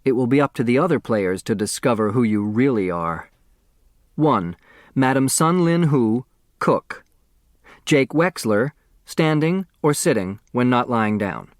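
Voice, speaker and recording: Guy playing a formal announcer reading out rules in an audiobook, deliberate, mid pitch, dry and close. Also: lively intonation, no room sound, natural voice. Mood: formal announcing.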